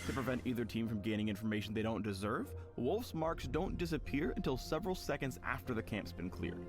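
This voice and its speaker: A man narrates a scripted game guide in a mid-range voice. His phrasing is playful and a little wry as he walks through tips like a tutorial narrator. Music plays clearly underneath him. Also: mid-range voice, animated, a little fast. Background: music behind the voice.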